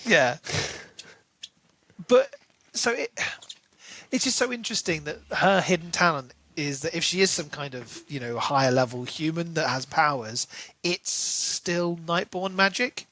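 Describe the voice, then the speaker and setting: A man's voice: fairly high pitch, animated, a little slow, pushed and bright. A man co-hosting a podcast, casually discussing a book and analysing it as he thinks aloud. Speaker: podcast co-host.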